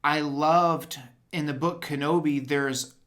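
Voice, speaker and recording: Young man, excitable, slangy, casual fan chat, lots of fillers. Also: a little high, slow pace.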